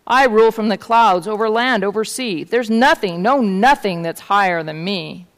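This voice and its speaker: A woman's voice: medium pitch, moderate pace, pitched above the performer's own voice, natural voice. Lady doing a bossy turtle king from a kids book, pompous n bellowing, big echoey hall. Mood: indignant, boastful. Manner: imperious, bellowing, grandiose. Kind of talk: storybook read-aloud. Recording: hall-like reverb.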